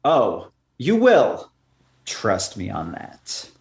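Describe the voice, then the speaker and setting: A man's voice: fairly low pitch, wildly swooping pitch, very slow, creaky, heavily acted. A man playing a menacing, sardonic racetrack businessman in a comic radio play, curt and tough. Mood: threatening.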